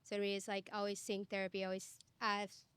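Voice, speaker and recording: A woman's voice: mid-range voice, monotone, a little fast, fairly bright tone, clear, resonant tone, no echo. A young woman from Egypt, a student panelist, speaking earnestly from personal experience in a panel discussion. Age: young adult.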